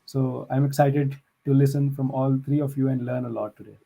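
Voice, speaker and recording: A man joins a talk show as a guest, friendly and earnest. His voice is warm and mellow, heard over a video call. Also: mid-range voice, little pitch movement, moderate pace, creaky. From Pakistan. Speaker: guest, tech entrepreneur.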